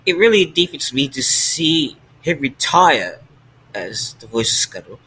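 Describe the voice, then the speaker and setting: A text-to-speech man plays an eager fan who longs to voice a beloved cartoon bird. The voice sounds bright and a little tense. Every phrase comes out stiff and machine-even. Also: medium pitch, husky, exaggerated voice, rough recording. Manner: stiff synthetic delivery. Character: hopeful male fan who dreams of voicing a seagull.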